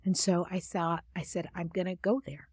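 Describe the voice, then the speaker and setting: A woman guest in a conversational interview, talking about her book in a reflective, soft-spoken storytelling manner. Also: fairly low pitch, flat delivery, moderate pace, slightly rough, no room sound, studio quality. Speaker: author and guest. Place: studio.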